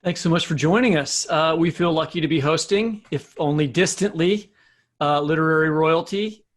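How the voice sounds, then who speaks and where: An American man co-hosts a conversational interview in a fairly high voice. He is effusive, often halting and restarting his phrases as he goes. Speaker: podcast co-host.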